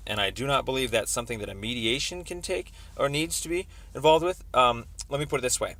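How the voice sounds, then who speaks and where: A man on a recorded phone call explaining a complaint as a caller, in a halting, wordy, roundabout way. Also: medium pitch, fairly bright tone. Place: telephone call.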